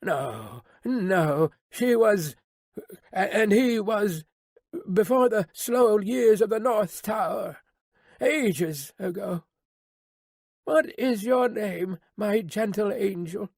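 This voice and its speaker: A woman's voice: medium pitch, expressive, darkish tone, no room sound, studio quality, voice pushed up. A woman reading a broken old prisoner. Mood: bewildered. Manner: halting, bewildered. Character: old man, a broken former prisoner, distraught. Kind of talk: audiobook character reading.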